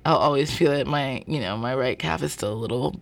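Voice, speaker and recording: A man being interviewed as a guest about his work, speaking in a thoughtful, searching way with many pauses and fillers. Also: mid-range voice, gravelly. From born in North Carolina, family from Zimbabwe. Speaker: guest, performer of a show. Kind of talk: reflective interview talk.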